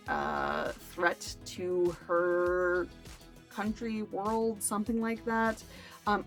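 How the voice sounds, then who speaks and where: A woman chatting casually to camera as a book review vlogger, with playful, self-deprecating asides. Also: mid-range voice.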